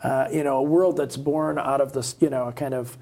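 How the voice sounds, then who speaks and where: A man co-hosting a podcast, talking casually in a halting, rambling, anecdotal way. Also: mid-range voice, fairly even intonation, vocal fry, warm tone. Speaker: podcast co-host. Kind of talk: casual conversational discussion.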